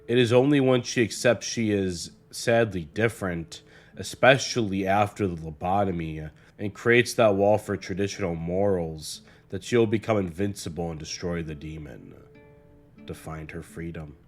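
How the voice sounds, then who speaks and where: A man narrating a scripted video essay in a measured, earnest way. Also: deep voice. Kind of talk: scripted analytical narration of a horror series. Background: guitar music.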